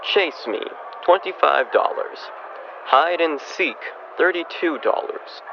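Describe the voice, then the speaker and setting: A man's voice: medium pitch, close to an everyday voice. A man playing a grumbly, thrifty middle-aged father who mutters to himself in a radio comedy. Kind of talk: old-time radio comedy acting. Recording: dull, band-limited, with clear background noise.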